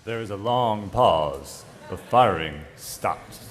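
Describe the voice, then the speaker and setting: A man's voice: lowish voice, animated, takes it slowly, long reverb tail. A man reading stage directions as narrator at a scripted table read.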